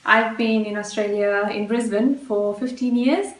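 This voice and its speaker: A woman in her thirties from Zimbabwe introducing herself in a friendly, relaxed way, with fillers. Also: medium pitch, a little slow. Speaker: guest contributor, children's book author. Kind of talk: casual self-introduction. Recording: roomy, distant mic.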